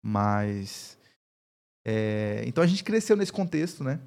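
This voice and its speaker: A man's voice: on the low side, big pitch swings, slow pace, vocal fry, a little mellow. Pastor in his thirties with a mixed Paraíba accent. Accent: mixed Paraíba Brazilian Portuguese. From Campina Grande, Paraíba, Brazil. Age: 30s. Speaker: pastor, interview guest. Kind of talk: casual interview conversation.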